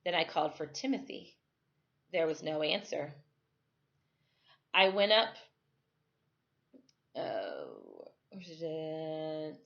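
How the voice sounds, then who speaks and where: A woman reading a children's novel aloud like a teacher at storytime, phrasing it expressively and deliberately. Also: low-pitched, big pitch swings, creaky, a bit bright, lo-fi sound.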